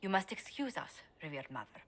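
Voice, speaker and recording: An actress playing a composed, shrewd female advisor who speaks in a measured, formal way in a game. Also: deep voice, very animated, a little hiss or hum. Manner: measured, formal, quietly persuasive. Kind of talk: video game voice acting.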